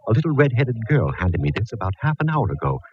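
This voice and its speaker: A man's voice: mid-range voice, big pitch swings, quick pace, soft background music, natural voice. A man playing a theatrical, easily alarmed sidekick in an old radio detective show, with a florid, complaining manner. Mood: rattled, indignant. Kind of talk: 1940s-50s radio comedy-drama acting. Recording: muffled, noisy old radio recording.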